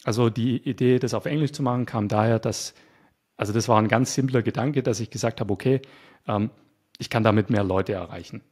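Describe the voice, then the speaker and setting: A man as an interview guest, calmly explaining his work with a few fillers. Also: on the low side, fairly quick. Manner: calm, explanatory, some fillers. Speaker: guest, web developer. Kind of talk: casual interview chat.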